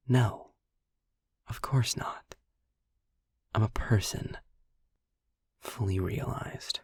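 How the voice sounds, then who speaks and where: A man playing a sarcastic, philosophical demon in an intimate audio roleplay, speaking deliberately in a husky, breathy half-whisper with a frustrated but principled air. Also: fairly low pitch, no room sound, heavily acted. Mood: firm, earnest. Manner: sardonic, deliberate, ranting with pauses and sighs.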